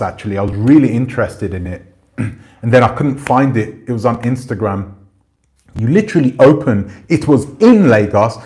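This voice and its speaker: A man in his forties chatting casually on a livestream, rambling and relaxed, swearing now and then. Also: mid-range voice. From Jamaican family roots. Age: 40s. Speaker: channel host. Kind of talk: casual livestream chat reading comments.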